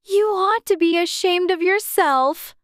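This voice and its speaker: A woman's voice: unhurried, no room sound, clean studio sound. A woman voicing a curious little girl who chatters and exclaims to herself in a dramatized storybook audiobook. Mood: scolding herself. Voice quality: childlike, very high voice. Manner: talks to herself, musing and exclaiming.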